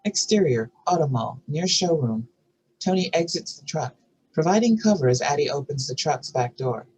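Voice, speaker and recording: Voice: mid-range voice, animated, normal speed, darkish tone, slight background noise, low-quality recording. A narrator reading scene headings and action lines from a screenplay at a table read. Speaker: narrator reading stage directions.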